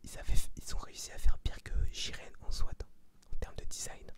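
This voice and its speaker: An ASMR host whispering a review in an informal, slangy, rambling way. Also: normal speed. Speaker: ASMR video host giving a film review.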